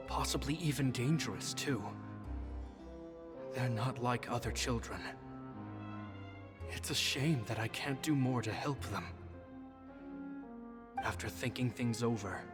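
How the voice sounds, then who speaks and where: Man acting a reflective stage magician, mid-pitched, measured, fretful musing, long reverb, music behind, game narration. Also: natural voice. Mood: musing, self-doubting. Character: reflective, fretful male stage magician reading his journal.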